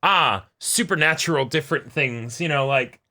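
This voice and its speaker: A man's voice: fairly high pitch, normal speed, sharp tone. A man co-hosting a reaction show in casual chat, feeling his way through phrases and repeating words.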